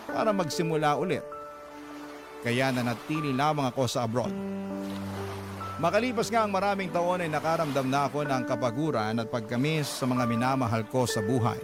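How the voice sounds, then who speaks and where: A man with a Filipino accent reading a scripted first-person dramatic story as a radio storyteller, with music behind him. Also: mid-range voice. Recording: background music under the voice.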